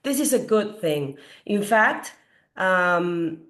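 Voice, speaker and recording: A middle-aged woman from Peru gives an earnest health lecture as a doctor. Her voice is slightly hoarse from a recent cold, and she asks her audience questions with frequent fillers. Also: fairly low pitch, expressive, slow pace, a bit bright. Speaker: doctor giving a health talk. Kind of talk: explanatory lecture.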